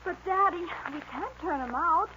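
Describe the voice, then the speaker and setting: An actress playing an anxious, earnest young rancher's daughter, emotional and pleading, in 1940s radio western style. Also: female voice, very high-pitched, lively intonation, moderate pace. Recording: muffled, noisy old radio recording.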